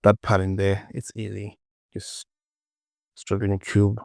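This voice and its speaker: A man presenting a casual software tutorial, informally talking himself through the work and often repeating words, close to the mic. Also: lowish voice, flat delivery, unhurried, gravelly, no echo.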